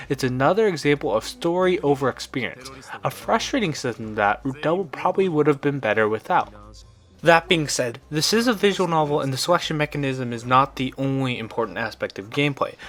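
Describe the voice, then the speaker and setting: A man with a mid-pitched voice narrates a scripted review. He explains things in a measured, orderly way and states his opinions plainly, as a video reviewer does. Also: fairly bright tone.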